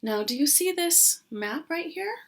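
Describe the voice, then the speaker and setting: Slow-paced female kindergarten teacher. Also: high-pitched, lively intonation. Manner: simple explanations with frequent questions to young listeners. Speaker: kindergarten teacher reading aloud. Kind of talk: read-aloud lesson for young children.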